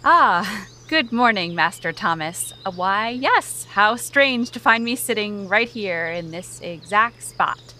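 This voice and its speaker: Audio comedy: young woman plays polite, dodging village maiden, lively bright delivery, faint noise behind. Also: mid-range voice, slow, few pauses. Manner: prim, polite, evasive. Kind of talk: comedic audio theater acting.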